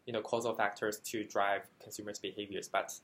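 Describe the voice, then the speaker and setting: A man from China whose first language is Chinese, a graduate student answering interview questions carefully, with many fillers and pauses. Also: on the low side, little pitch movement, a bit bright.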